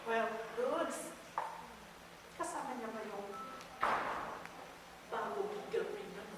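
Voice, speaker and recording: A woman's voice: on the high side, takes it slowly. A woman acting a vain, romantic, gossipy senior in a stage play, with a Filipino accent and a theatrical delivery. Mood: nosy. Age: older. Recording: echoey hall, far mic, noisy.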